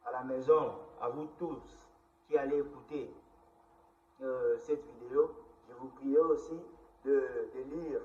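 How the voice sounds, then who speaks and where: The voice is a man with a Congolese accent and a middling pitch, preaching to a recorder. He sets out his teaching in deliberate, halting, repeated phrases. The sound is roomy and slightly muffled, as from a lo-fi home setup. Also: recorded from a distance. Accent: Congolese (Kinshasa). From Kinshasa, DR Congo. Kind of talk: recorded Bible teaching, reading scripture aloud. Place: indoor room at a computer. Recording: roomy, echoing, dull lo-fi sound.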